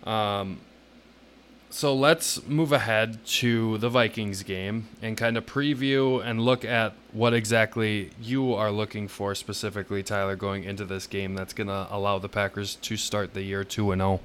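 A man co-hosting a sports podcast, giving measured, opinionated analysis in a casual, explanatory way. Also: fairly low pitch, steady tone, fairly bright tone, a little hiss or hum.

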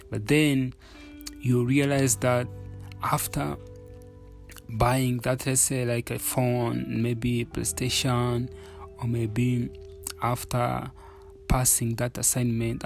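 A man's voice: medium pitch, vocal fry. A man from Uganda hosting a solo podcast, talking candidly and reflectively with many fillers and restarts. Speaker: podcast host. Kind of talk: casual solo podcast talk.

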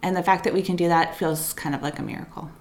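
A woman's voice: on the low side, steady tone, quick pace. A woman co-hosting a casual podcast as an editor, explaining things in a thoughtful way. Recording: echoey room with background noise.

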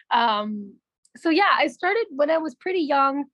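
A young woman from Canada with a naturally raspy voice, a musician guest talking casually and with animation. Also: sits high, slow, dry recording, muffled recording. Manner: animated storytelling, laughs often, swears. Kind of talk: casual chat.

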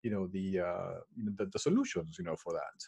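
A man's voice: deep voice, lively intonation, a little fast, creaky, dark tone, husky. A middle-aged man from Peru, answering interview questions about his work in a hesitant way, with many fillers and restarts. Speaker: guest, well-being consultant and coach.

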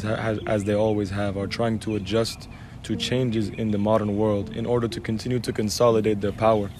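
Assertive man from Algeria, fairly low. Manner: assertive, speech-like and emphatic. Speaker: guest. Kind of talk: conversational interview.

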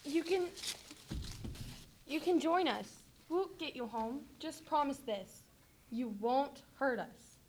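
A woman's voice: high voice, lively intonation, slow, pauses often, darkish tone, a little hiss or hum. A woman playing a hopeful, trusting young woman on the run, in an amateur radio drama.

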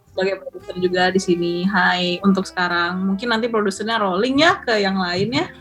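Teasing Indonesian woman, mid-pitched voice. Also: a little fast, fairly bright tone, faint music behind. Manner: teasing, self-deprecating. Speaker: podcast co-host. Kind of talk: casual chat.

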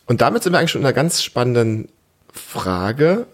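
A man's voice: medium pitch, big pitch swings, a little fast. A man in his thirties or forties hosting a casual family podcast, chatting encouragingly and explaining things simply to a child. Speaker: podcast host.

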